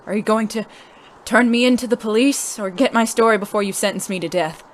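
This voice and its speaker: A woman's voice: mid-range voice, brisk, slight background noise, natural voice. A woman playing a cool, secretive spy who lives as a man in a noir audio drama, formal and wry. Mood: sardonic, challenging.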